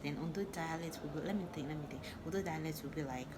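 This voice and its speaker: A woman's voice: low voice, fast talker. A young woman with a Nigerian accent telling a personal story to camera in a casual, chatty way, laughing often and restarting her sentences. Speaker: vlogger. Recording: loud background noise.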